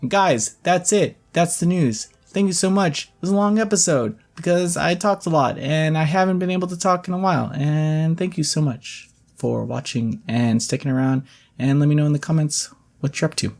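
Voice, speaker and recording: Gravelly, chatty man hosting gaming news. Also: a little high, moderate pace, a little mellow, soft background music. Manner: chatty, informal, jokey asides. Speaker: host of a gaming news show. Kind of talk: casual gaming news rundown with personal commentary.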